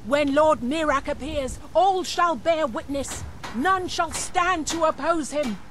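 An actress playing an accusing, threatening cultist fanatic in a video game, speaking in a solemn, declamatory way. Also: female voice, high voice, slow, a bit bright, a little hiss or hum. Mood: menacing, solemn. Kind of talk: video game character dialogue.

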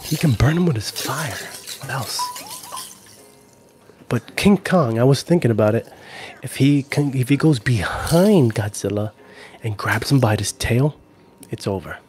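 A man's voice: mid-range voice, expressive, unhurried, darkish tone, a little hiss or hum. Casual male livestreamer, forties, mid-range voice, playful and easygoing, close-miked in a home room. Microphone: handheld-style recorder on a stand, close up.

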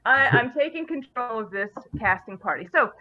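High, animated woman in dull audio. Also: normal speed, slight background noise. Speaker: host of a live group stream. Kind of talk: casual group voice chat. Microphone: computer mic in online group call.

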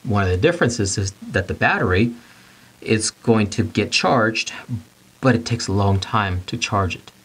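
Husky-voiced man teaching HVAC basics. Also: on the low side, poor audio. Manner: plain-spoken, repeats key points, asks and answers his own questions. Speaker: HVAC instructor. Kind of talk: teaching to camera. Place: studio in front of a whiteboard.

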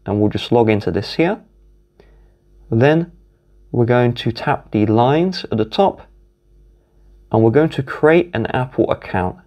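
A British man talks through a phone tutorial one step at a time, instructive and methodical. His voice sits at an ordinary middle pitch. He records indoors at a desk with the phones in front of him. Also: darkish tone, lo-fi sound. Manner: instructive, methodical, walks through each tap. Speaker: tech tutorial host.

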